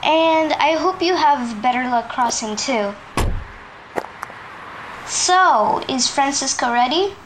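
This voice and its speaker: High-voiced aunt played by a woman, calm and instructive, noisy amateur audio. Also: takes it slowly, pauses often. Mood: reassuring. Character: calm adult woman smuggling nephew, Tia Blanca. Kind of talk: amateur audio drama acting.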